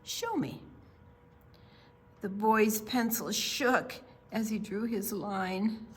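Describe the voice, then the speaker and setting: An older woman reads a picture book aloud for young children, expressively and with pauses for her listeners. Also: mid-range voice, steady tone, breathy edge. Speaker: children's library storyteller.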